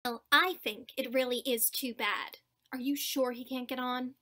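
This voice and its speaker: A woman's voice: sits high, steady tone, normal speed, bright, forceful tone. A woman playing a pushy, entitled mother in a web cartoon, huffy and condescending. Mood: indignant. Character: pushy, entitled, indignant mother of small boy. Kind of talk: animated web cartoon acting.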